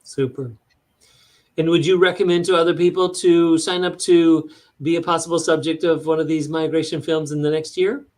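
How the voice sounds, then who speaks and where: A male host with a slightly high voice runs a casual interview. He asks questions and closes with a friendly pitch for an event. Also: steady tone, moderate pace, a little mellow.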